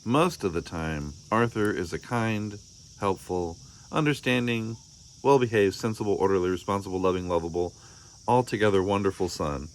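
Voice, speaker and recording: A man with a fairly low voice reads a children's picture book aloud. His delivery is measured and expressive, like a parent telling a story, and there is faint noise in the recording. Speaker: storybook reader.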